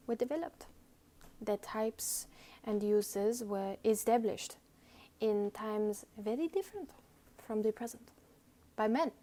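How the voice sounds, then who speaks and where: A woman reads an essay aloud as a dramatic performance, deliberate and theatrical, with pointed pauses. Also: fairly high pitch, dark tone. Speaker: reader. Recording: noticeable background noise.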